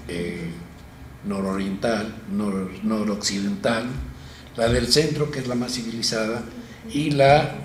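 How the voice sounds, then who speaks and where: A middle-aged man explains, point by point, at a studio table, measured and orderly. His pitch is ordinary. The room is roomy, with faint noise behind him. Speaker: guest. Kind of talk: studio talk show chat. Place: small TV studio, round table.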